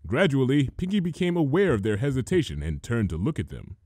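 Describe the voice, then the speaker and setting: A man's voice: medium pitch, lively intonation, brisk, darkish tone, no room sound, studio quality. A man reading a story aloud as narrator, in a scripted, dramatic storytelling style. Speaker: fan fiction reader, narrator.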